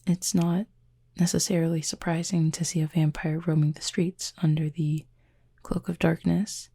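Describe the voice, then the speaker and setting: A woman playing an injured fallen cupid in an intimate roleplay audio, soft and breathy, with a formal, measured, dryly aloof but curious manner. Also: deep voice, exaggerated voice. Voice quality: soft, breathy, husky with fry. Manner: measured, formal, wordy phrasing.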